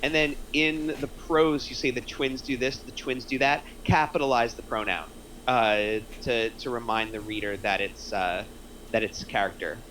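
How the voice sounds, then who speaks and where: A man's voice: medium pitch, fairly even intonation, moderate pace, a bit bright, slight background noise, rough recording. A man giving casual, explanatory feedback in a group discussion, using examples as he goes. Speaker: script feedback panelist. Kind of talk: casual group critique of a screenplay.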